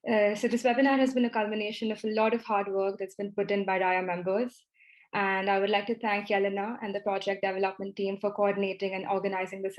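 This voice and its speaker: Even-toned woman moderating a webinar. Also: mid-range voice, low-quality recording. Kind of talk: formal closing remarks.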